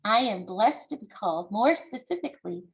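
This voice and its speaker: A woman from the United States, an author reading her book aloud and explaining it to young listeners in a deliberate, encouraging, instructive way. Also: mid-range voice, animated, vocal fry.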